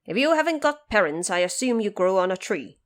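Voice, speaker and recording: A woman voicing a stern, wise old male patriarch in an audio drama, commanding and deliberate as he lectures. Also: medium pitch, very animated, natural voice. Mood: probing.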